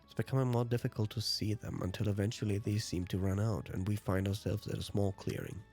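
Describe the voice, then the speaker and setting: A man's voice: lowish voice, fairly even intonation, a little fast, darkish tone. A native German speaker, a man, narrating and commenting on a visual novel as a let's play host. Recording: music behind the voice.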